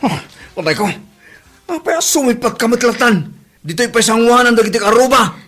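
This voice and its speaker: A man's voice: very high voice, fairly quick, fairly bright tone, faint music behind. A man with an Ilocano accent playing a whining, henpecked husband in a comedy audio drama. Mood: panicked, pleading.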